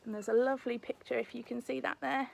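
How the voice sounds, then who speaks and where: High-voiced British woman reading a storybook. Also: steady tone, quick pace, gravelly, noisy background. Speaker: teacher reading a storybook to children. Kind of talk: expressive storybook narration.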